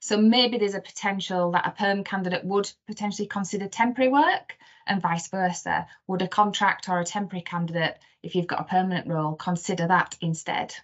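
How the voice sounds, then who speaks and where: A woman with an average-pitched voice co-presents a webinar. She gives practical tips in an informal style. The recording is slightly muffled, like a video call. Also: fairly quick, hardly any pauses. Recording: dull video call audio.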